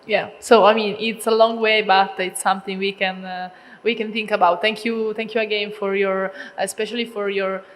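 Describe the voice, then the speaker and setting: A woman's voice: mid-range voice, level tone, moderate pace. A woman moderating a panel, asking her questions hesitantly with many "uh" and "um" fillers. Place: large ballroom. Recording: long hall reverb with faint noise.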